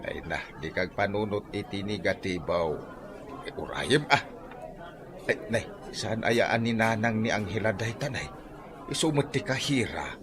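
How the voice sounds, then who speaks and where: Older Ilocano jeepney driver, very low voice, teasing confidant, comedy radio drama. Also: male voice, expressive, measured pace, a crowd in the background. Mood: reassuring. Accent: Filipino, Ilocano.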